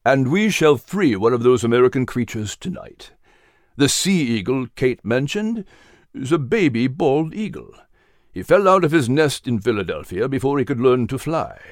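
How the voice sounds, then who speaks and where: A narrator voicing a calm, wise and kindly male guide in a children's audiobook. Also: mid-range voice, normal speed, dry recording, clean studio sound, close to an everyday voice. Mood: reassuring.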